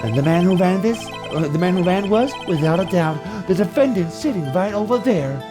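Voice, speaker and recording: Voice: mid-range voice, lively intonation, moderate pace, natural voice. Casting: jumpy middle-aged man as dodgy witness, played by an amateur reader, nervous, swooping delivery, game drama over music. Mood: dramatic, shifty. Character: shifty, jumpy middle-aged male newspaper seller witness. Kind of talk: video game dramatic reading.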